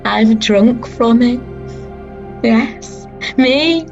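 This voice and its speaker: A woman playing a grieving but resolute female disciple who recalls her rejection in a halting dramatic monologue with long pauses. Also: on the high side, vocal fry, a little mellow, dull audio, faint music behind, full character voice. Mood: pained, wondering.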